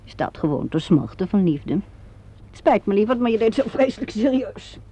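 A woman's voice: medium pitch, animated, moderate pace, mellow, husky. An actress playing a self-possessed, teasing woman of the theatre in a radio drama, bantering and flippant. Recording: dull lo-fi radio play recording.

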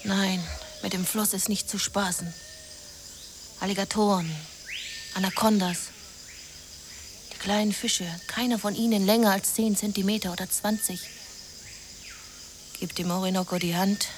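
An actress narrating a lurid jungle river expedition in a radio play, theatrical and often dropping into a hushed whisper. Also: female voice, mid-range voice, steady tone, unhurried, pauses often, noisy background, natural voice. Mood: menacing.

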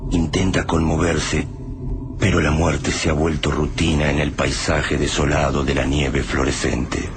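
A man giving grave, measured scripted narration for a radio drama. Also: rumbling low, level tone, background noise.

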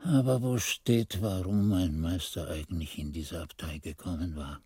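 An actor playing a reflective old monk who recalls his youth, musing and halting as if talking to himself, husky-voiced, in a radio drama. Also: deep voice, dark tone. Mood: troubled recollection. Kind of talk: 1980s German radio drama narration.

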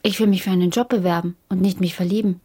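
A woman's voice: fairly low pitch, fairly even intonation, fast talker, warm tone, breathy edge. An actress playing an uneasy, resistant woman in a German radio drama, plain-spoken and defensive. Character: uneasy, resistant woman dependent on pills and therapy.

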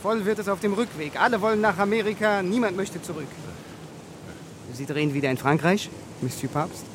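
An actor playing a chatty, inquisitive steward on a French ship in a radio drama. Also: male voice, high voice, brisk, background noise, lightly acted. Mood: chatty, curious.

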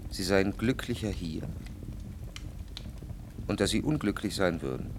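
Formal anguished lover, lowish voice, insistent, radio play, faint noise behind. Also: male voice, lively intonation, unhurried. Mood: persuading. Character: anguished, controlling gentleman lover, formal and evasive. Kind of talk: radio drama dialogue.

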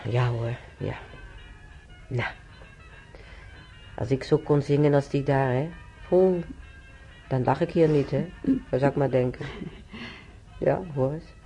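A woman's voice: extremely low pitch, steady tone, slow pace, pauses often, dark tone, soft background music, full character voice. An actress playing a wry, chatty old woman who is a hospital patient in a radio play. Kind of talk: naturalistic radio play acting.

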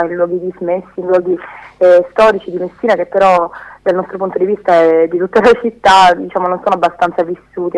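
A woman with bronchitis giving a live phone interview, explaining activist actions and pausing to find her words. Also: lowish voice. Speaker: phone-in guest, theatre occupation activist. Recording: telephone line.

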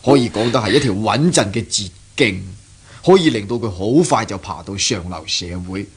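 A man's voice: lowish voice, expressive, normal speed, husky. An actor playing an indulgent, worldly middle-aged father in a Cantonese radio drama, coaxing and chuckling, with a Hong Kong accent. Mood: reassuring, persuasive. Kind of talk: 1970s radio drama acting. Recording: noisy old 1970s radio recording.

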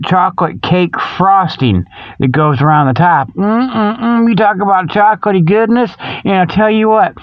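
A man's voice: high-pitched, moderate pace, dry recording, highs cut off. A man hosting a rambling comic radio monologue in a folksy, colloquial, mock-scolding way, full of okays and you-knows. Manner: folksy, colloquial phrasing with frequent "okay" and "you know". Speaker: radio show host.

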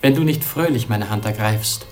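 An actor playing a lovesick young prince, declaiming with solemn, anguished fervour in a radio play. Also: male voice, on the low side, moderate pace, natural voice. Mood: solemn devotion. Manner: solemn, fervent, lofty declamation.

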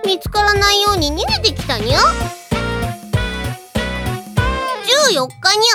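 A vain, lazy little alien cat-girl princess from an anime audio drama. The voice is high, childlike and cutesy, with a bossy, self-important delivery and cat-like verbal tics. Prominent music plays behind it. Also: lively intonation, quick pace. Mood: chirpy diary reading. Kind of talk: anime audio drama acting, reading a diary aloud.

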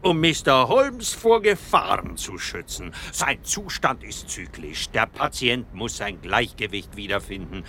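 An urbane Viennese psychoanalyst in a radio play, speaking in a slow, highly expressive voice with a bright edge. He lectures with smug assurance and tags his points with rhetorical questions. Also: a little hiss or hum, heavily acted. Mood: lecturing, condescending. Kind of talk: German radio drama acting.

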